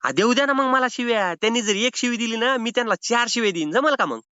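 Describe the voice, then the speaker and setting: A man's voice: very high-pitched, expressive, fast, sharp tone, smooth, no room sound, heavily acted. A man playing a cheeky, evasive rural Maharashtrian borrower with a whiny, argumentative way of stalling in a comic phone call. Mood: defiant. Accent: rural Maharashtrian Marathi. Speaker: prank caller playing a loan defaulter. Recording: slightly dull phone-call audio.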